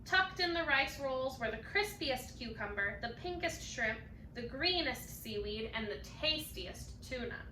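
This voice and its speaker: High, very bright woman reading a bedtime story. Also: unhurried, hardly any pauses. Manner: animated, acts out characters' lines. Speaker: storybook reader. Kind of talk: expressive children's bedtime story read-aloud. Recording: roomy, distant mic, faint noise.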